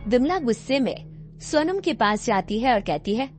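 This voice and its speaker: A woman narrates a scripted tale. Her voice sits a little high, with light music playing behind it. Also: very animated, normal speed.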